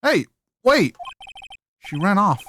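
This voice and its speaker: A man's voice: high-pitched, big pitch swings, slow pace, sharp tone, a little hiss or hum, exaggerated voice. Man playing an earnest, anxious young lawyer. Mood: surprised. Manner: hesitant, pleading, questioning. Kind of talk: fan voice-over of a visual novel game.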